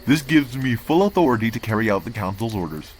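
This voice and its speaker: A man's voice: medium pitch, expressive, quick pace, breathy edge, rough recording. A man playing a cold, disdainful council envoy, speaking formally and condescendingly in a comic dub. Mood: haughty. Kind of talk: amateur comic dub acting.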